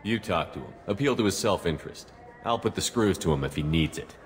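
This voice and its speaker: Deep-voiced, resolute commander. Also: male voice, expressive, brisk, big-room sound. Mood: firm. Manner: terse, direct, controlled. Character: steady, resolute male military commander, firm but fair. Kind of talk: video game voice acting.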